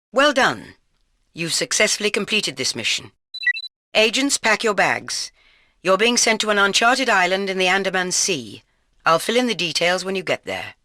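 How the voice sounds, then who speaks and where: A stern, authoritative British spy chief in a video game. The delivery is formal and commanding, with a forceful edge and animated pitch movement. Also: female voice, no room sound, studio quality. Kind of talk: video game mission briefing.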